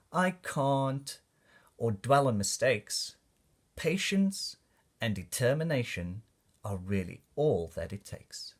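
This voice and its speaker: Male storybook reader for young kids, lively and varied intonation, unhurried pace, expressive phrasing. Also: mid-range voice, slightly rough. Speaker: children's book reader. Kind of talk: storybook read-aloud for kids.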